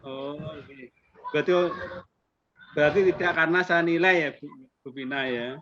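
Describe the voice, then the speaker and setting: A man's voice: fairly high pitch, normal speed, sharp tone. A man in his fifties with a Javanese accent, an assessor chatting in a friendly way with teachers over a video call. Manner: friendly, chatty, mixes in Javanese politeness words. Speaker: accreditation assessor. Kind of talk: online interview with teachers. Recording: muffled video call audio with background noise.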